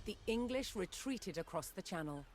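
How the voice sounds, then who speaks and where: A woman narrates a historical documentary in a mid-range voice. Her delivery is scripted, measured and solemn, in the manner of a serious storyteller. Music plays strongly behind her throughout. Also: medium pitch, animated.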